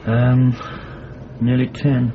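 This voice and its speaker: A man's voice: mid-range voice, completely flat delivery, very slow. An actor playing a hesitant, questioning male surveillance subordinate in a radio drama. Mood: tentative. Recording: dull, faintly noisy radio recording.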